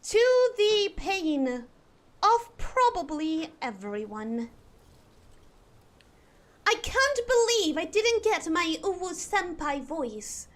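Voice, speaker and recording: A young woman streaming live, chatting in an exaggerated put-on posh British accent with a theatrical, drawn-out delivery. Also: very high voice, big pitch swings. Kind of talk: casual live-stream chat.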